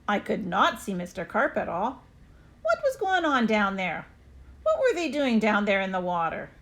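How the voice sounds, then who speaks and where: A woman reading a children's story aloud expressively, with deliberate, dramatic emphasis. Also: fairly high pitch, a bit bright. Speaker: storybook reader.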